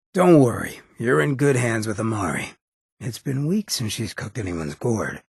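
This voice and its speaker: An American man playing a roguish, charismatic ghoul mayor in a video game, with a raspy, gravelly voice and a laid-back, streetwise swagger. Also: medium pitch, dry recording, studio quality, full character voice. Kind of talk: video game character dialogue.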